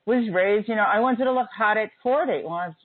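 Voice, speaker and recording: A woman's voice: medium pitch, brisk, no room sound. Chatty woman host on a tinny line. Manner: chatty, emphatic, laughs often. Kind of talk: casual chat.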